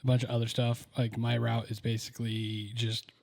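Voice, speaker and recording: A young man co-hosting a casual podcast, talking in a relaxed, easygoing way with plenty of fillers. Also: medium pitch, moderate pace, vocal fry. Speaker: podcast co-host. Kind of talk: casual chat.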